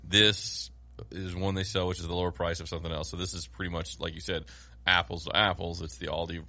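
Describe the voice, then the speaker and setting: Gravelly deep-voiced man, lively and jokey. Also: fairly quick, no echo. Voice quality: gravelly, bright voice, big swings in pitch. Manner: loud, playful, jokey. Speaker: podcast co-host. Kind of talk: casual chat.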